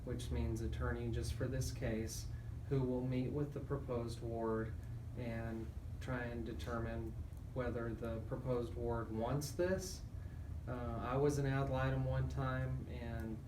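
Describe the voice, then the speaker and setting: A man's voice: medium pitch, flat delivery, a little slow, echoey room. Man, radio show lawyer, conversational explainer, distant from mic, noticeable background noise.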